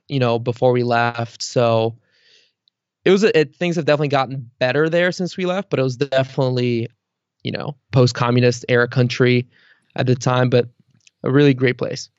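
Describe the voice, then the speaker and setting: A man's voice: medium pitch, clear, resonant tone, no room sound, lo-fi sound. A man in his thirties, born in Bulgaria, talking loosely as a podcast guest and leaning on fillers like "like" and "you know.". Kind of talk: casual interview chat.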